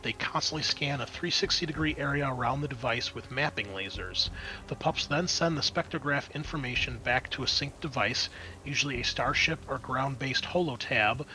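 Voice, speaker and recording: A man's voice: mid-range voice, brisk, pushed and bright, poor audio. A man acting as game master, narrating a tabletop roleplaying game in a casual, explanatory, conversational way. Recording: clear background noise.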